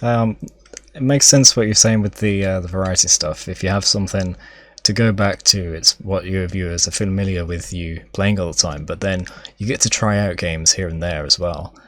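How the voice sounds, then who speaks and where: A man with a very deep voice hosting a laid-back podcast, recorded dry and close. He is friendly and encouraging, keeping the chat going with follow-up questions. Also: moderate pace, fairly bright tone, no echo. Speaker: podcast host.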